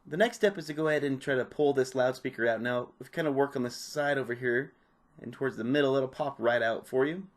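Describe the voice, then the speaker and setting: A man's voice: mid-range voice, brisk. A man presenting a repair tutorial, giving casual, informal step-by-step hands-on instructions. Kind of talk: casual hands-on how-to narration.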